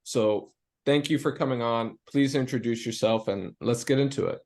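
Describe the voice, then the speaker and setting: A man's voice: medium pitch, normal speed, a little mellow. A young man hosting a podcast interview, eager and often stumbling over his words. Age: young adult. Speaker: podcast host. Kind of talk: casual interview.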